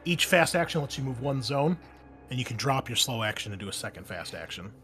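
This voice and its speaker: A man with a mid-pitched voice acts as game master, describing scenes and rules to his players. His delivery is informal and improvised. Faint music plays underneath. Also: big pitch swings, a little fast, fairly bright tone. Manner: casual, informal. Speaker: game master of a tabletop roleplaying game.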